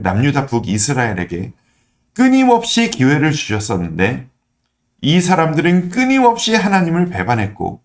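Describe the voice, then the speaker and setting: A man, a pastor teaching the Bible in a conversational, earnest way, his voice slightly affected by a throat cold. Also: mid-range voice. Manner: earnest, explanatory, emphatic repetition. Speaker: pastor giving a daily online devotional.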